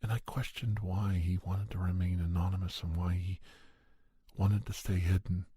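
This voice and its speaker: Man, soft raspy dark voice, slow hushed ASMR storytelling, close dry mic. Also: little pitch movement, dry recording. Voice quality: soft, breathy, raspy low voice. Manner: slow, hushed, deliberate delivery. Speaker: podcast host and storyteller. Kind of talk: soft ASMR first-person horror storytelling.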